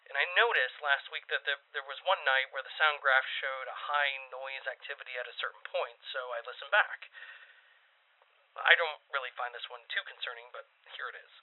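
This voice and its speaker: A man playing an anxious amateur podcaster in a found-footage audio drama, rambling haltingly through a confessional monologue. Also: medium pitch, natural voice. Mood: uneasy, hesitant. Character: anxious man recording a confessional podcast about eerie sleep noises. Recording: muffled, tinny radio or phone-like sound.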